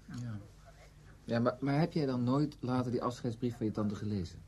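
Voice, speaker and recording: A man acting in a radio play as a brooding, probing former student who tells his story in a measured, reflective way. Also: mid-range voice, dark tone, husky, close to an everyday voice. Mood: probing, sceptical. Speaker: narrator and lead character in a radio play. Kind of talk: scripted radio drama, literary first-person narration.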